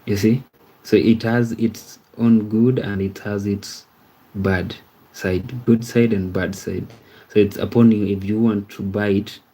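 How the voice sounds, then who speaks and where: A man's voice: lowish voice, fairly even intonation, unhurried, dark tone, breathy edge, low-quality recording. A man in his thirties hosting a casual livestream, rambling and repeating himself as he talks to viewers and asks "you see?". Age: 30s. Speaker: livestream host. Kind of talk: casual livestream chat reading viewer comments.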